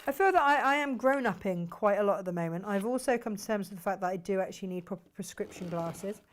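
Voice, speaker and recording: Craft host, woman, mid-pitched, slightly croaky, casual and self-deprecating as she works. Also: fast talker. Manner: chatty, self-deprecating, talks to viewers while working. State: croaky from hay fever. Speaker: sewing tutorial host.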